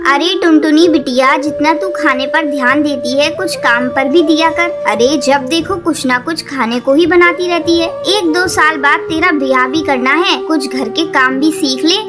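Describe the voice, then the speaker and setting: A woman's voice: sits high, moderate pace, hardly any pauses, close to an everyday voice. A woman voicing a practical mother bird in a cartoon, chiding yet affectionate. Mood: teasing, scolding. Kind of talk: Hindi cartoon story acting. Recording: loud music under the voice.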